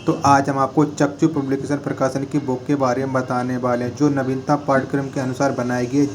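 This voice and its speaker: A man delivers a promotional pitch in long run-on sentences. His voice sits at a medium pitch with little variation, and there is faint noise behind him. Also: darkish tone, low-quality recording. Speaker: book promoter. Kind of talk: spoken advertisement pitch.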